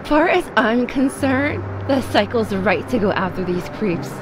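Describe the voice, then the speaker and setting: Streetwise young woman, defiant, wounded, vengeful, slightly high voice, noisy ambient background, audio drama. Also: lively intonation, a little slow. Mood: urgent. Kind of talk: film-style audio drama acting.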